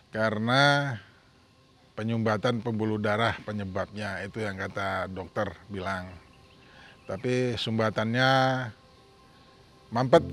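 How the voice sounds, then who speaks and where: A man in his fifties from Sumatra, giving an earnest, plain-spoken personal testimony. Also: fairly low pitch. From Sumatra, Indonesia. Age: 50s. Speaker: testimonial speaker.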